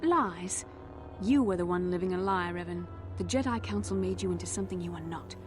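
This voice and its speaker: An actress playing a proud, bitter fallen Jedi in a video game, haughty, intense and deliberate. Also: female voice, lowish voice, music in the background, close to an everyday voice. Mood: contemptuous. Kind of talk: dramatic video game acting.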